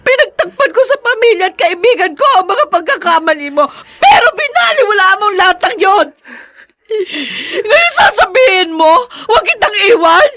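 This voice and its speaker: A woman's voice: very high voice, sharp tone, dry recording, studio quality, exaggerated voice. A Filipino woman playing a grieving, embittered young wife and mother in an emotional radio drama. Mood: crying, furious. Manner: anguished, emotional delivery.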